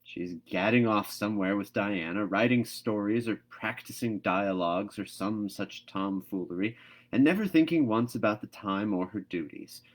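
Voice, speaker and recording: Storybook role: male reader as middle-aged farm woman, stern and exasperated, scolding with brisk emphasis. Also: fairly low pitch, lightly acted. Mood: grumbling.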